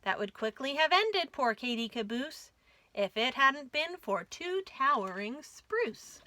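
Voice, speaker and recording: A woman reading a rhyming picture book aloud for young children in an expressive storytime style. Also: high voice, measured pace. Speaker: children's storytime reader at a library.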